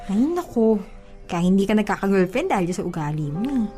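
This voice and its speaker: A woman acts as a coy young provincial woman being courted. Her voice is mellow and teasing, in a radio-style romance with music underneath. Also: medium pitch, lively intonation, fairly quick, lightly acted. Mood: sassy teasing. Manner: coy, teasing, hesitant when surprised.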